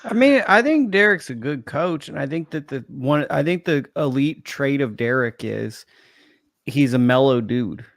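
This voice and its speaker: A man on a casual boxing talk show, relaxed and analytical, who reasons things out at length. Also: medium pitch, a little slow, gravelly, no room sound, studio quality. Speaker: podcast panelist. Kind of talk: casual panel chat.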